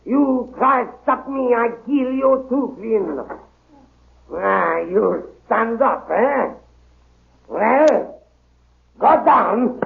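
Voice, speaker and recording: An actor playing a big, drunken, menacing bully who speaks broken English with a foreign accent in an old radio drama. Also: male voice, very high voice, lively intonation, extremely slow, creaky, pauses often, a little hiss or hum, heavily acted. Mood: menacing, violent. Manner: domineering, threatening, broken grammar. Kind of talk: 1930s radio drama acting. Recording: tinny old radio recording.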